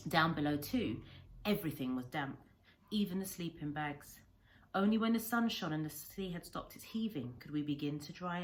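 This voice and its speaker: A woman reading a children's story aloud like a schoolteacher, with deliberate phrasing and dramatic emphasis. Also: low-pitched, a little hiss or hum.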